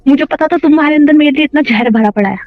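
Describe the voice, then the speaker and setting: A woman's voice: high voice, fast, dry recording, faint music behind. A young woman arguing emotionally on a casual phone call, accusatory, insistent and repeating herself. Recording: dull lo-fi phone call recording.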